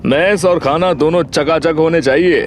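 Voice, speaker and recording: A man's voice: a little high, normal speed, soft background music, lightly acted. A man playing a cocky, bossy army lieutenant with a self-assured, mocking way of talking, in an audio drama. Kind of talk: Hindi audio story dramatization.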